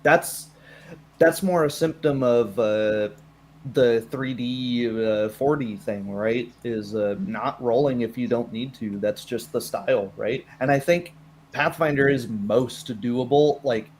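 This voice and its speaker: Male game master, middle-pitched voice, casual post-game chat, agreeable and talkative, often checks in. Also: slow, darkish tone, a little hiss or hum. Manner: chatty and agreeable, often asking "right?" and "you know?". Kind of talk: casual chat after a tabletop roleplaying session.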